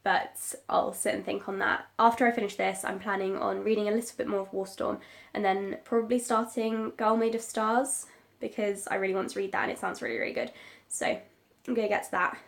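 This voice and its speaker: Woman vlogger, stuffy head cold, a bit of vocal fry, chatty and rambling. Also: mid-range voice, level tone, fairly quick, dry recording. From Britain. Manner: rambling, many likes and ums. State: congested from a cold, sniffly. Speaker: book vlogger. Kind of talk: casual chatty vlog.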